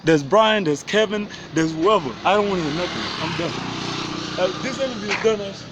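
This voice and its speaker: A man's voice: high voice, fairly quick. Laid-back man. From South Sudan. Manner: laid-back, street slang fillers. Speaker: interview guest. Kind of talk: casual interview talk. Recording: muffled with faint background noise.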